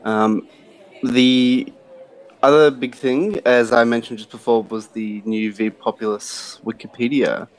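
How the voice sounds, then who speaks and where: A man's voice: medium pitch, steady tone, measured pace, low-quality recording. A man talking on a live stream in an easygoing, joking way, with frequent "um"s. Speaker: community team member. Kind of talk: casual live-stream chat.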